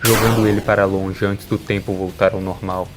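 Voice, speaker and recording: Low-voiced Brazilian man narrating dramatically. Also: little pitch movement, normal speed, over loud music.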